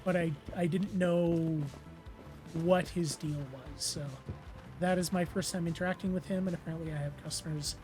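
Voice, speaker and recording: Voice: medium pitch, fairly even intonation, normal speed, darkish tone, faint music behind. Rambling gamer with a weakened, strained voice. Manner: rambling, filler-heavy, self-deprecating. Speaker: let's play host. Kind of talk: casual gameplay commentary.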